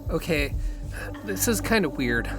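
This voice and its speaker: Guy acting puzzled teen boy, kinda high lively voice, audio drama. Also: a little slow. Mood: uneasy. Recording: loud music bed under the voice.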